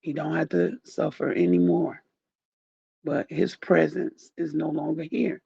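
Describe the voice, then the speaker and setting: Groggy gravelly man, slow and grieving. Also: level tone, no room sound, highs cut off. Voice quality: groggy, deep. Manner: soft-spoken, rambling, heartfelt. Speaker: YouTuber thanking viewers. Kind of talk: casual direct-to-camera chat.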